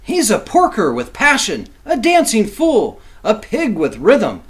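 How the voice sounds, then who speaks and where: A man reading a children's storybook aloud as a teacher, expressive, with simple explanatory asides for young listeners. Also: sits high, a little slow.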